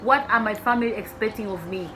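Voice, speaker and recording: A woman's voice: medium pitch, fast, fairly bright tone, a little hiss or hum. A young woman from Tanzania, a medical student, speaking hesitantly with many fillers in a casual group discussion. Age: young adult.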